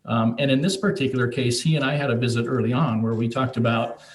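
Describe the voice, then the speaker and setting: A man's voice: medium pitch, fairly even intonation, fast. A man giving an interview as a spokesman, recorded in a roomy space. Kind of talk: interview talk. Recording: echoey, distant mic, noisy, clicks.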